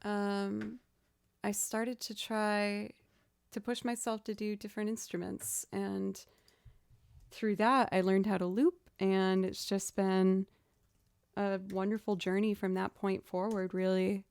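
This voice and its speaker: A young woman with a lower voice, chatting casually on a live music stream, self-deprecating and quick to laugh. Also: flat delivery, gravelly, a little mellow, smooth, dry recording, clean studio sound.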